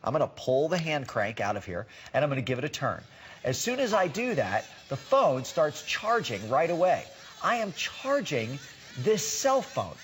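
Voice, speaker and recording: A man's voice: a little high, big pitch swings, normal speed, a little hiss or hum. A man giving a live home shopping demonstration as a product expert, explaining conversationally and emphatically with repeated words for stress.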